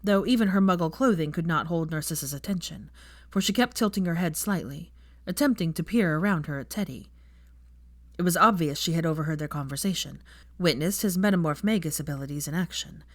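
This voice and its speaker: A woman's voice: sits low, fairly quick, dry recording. A woman narrating a story from a script as an audiobook-style reader of fan fiction.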